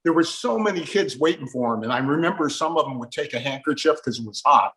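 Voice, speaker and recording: A man's voice: a little high, expressive, fast talker, a bit bright. An older man with an American accent, an interviewer sharing his recollections in a conversational way. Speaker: interviewer with a radio background.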